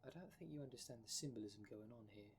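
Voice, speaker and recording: A man's voice: medium pitch, fast, a little hiss or hum, natural voice. A man playing the impatient, sarcastic half of a dream demon in an ASMR roleplay, blunt and slangy. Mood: needling. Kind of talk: ASMR roleplay audio drama.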